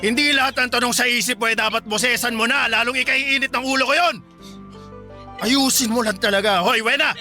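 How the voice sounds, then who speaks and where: A man's voice: very high voice, fairly even intonation, fairly quick, heavily acted. A man acting an angry, domineering middle-aged husband and father in a Filipino radio drama, scolding and threatening. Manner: scolding, shouting. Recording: music behind the voice.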